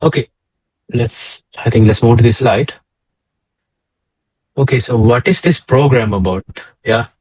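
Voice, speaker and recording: A man's voice: medium pitch, measured pace, pauses often, darkish tone, slightly rough, no echo, rough recording. A young man from Sri Lanka co-hosting a casual slide talk over a video call, hesitant with many fillers and restarts. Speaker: co-host, data engineer. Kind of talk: casual presentation chat.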